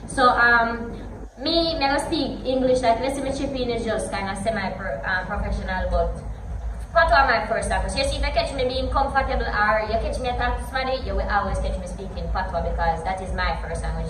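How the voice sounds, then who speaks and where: A woman's voice: medium pitch, recorded from a distance. Young Jamaican woman in an echoey room. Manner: chatty, switches between English and Patois, animated hand gestures. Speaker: vlogger. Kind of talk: casual Q&A vlog. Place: home gym room, indoors. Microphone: camera a few feet away. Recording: echoey room, voice off-mic, background hiss.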